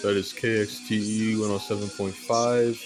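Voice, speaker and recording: An American man casually showing off a collection in a monotone, unhurried way, as a hobbyist presenter. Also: on the low side, over music. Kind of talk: casual show-and-tell of a collection.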